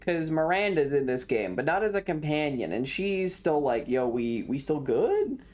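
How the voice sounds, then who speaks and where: A woman's voice: sits low, very animated, moderate pace, a little mellow. A woman streamer giving casual live game commentary, with teasing, joking asides.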